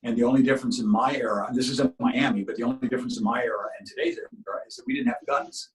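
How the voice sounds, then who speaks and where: A middle-aged man born in Cuba, whose first language was Spanish, talking at an everyday pitch. He tells long stories in earnest, often restarting his sentences. His delivery sounds like a guest chatting on an interview show. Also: steady tone, rapid-fire, low-quality recording. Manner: earnest, talks in long runs with many restarts. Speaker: guest actor. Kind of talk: casual interview storytelling.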